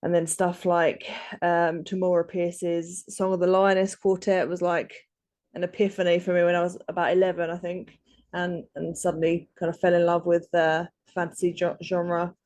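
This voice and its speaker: A woman's voice: fairly low pitch, little pitch movement, moderate pace, darkish tone. A woman in her thirties, a guest author talking in an interview, full of ums and false starts. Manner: hesitant, many ums and restarts. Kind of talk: casual interview.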